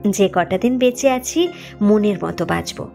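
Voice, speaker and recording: A woman's voice: mid-range voice, unhurried, creaky, dark tone, soft background music. Woman acting a spirited, newly defiant young wife. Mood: cheerful, reflective. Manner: bold, mocking.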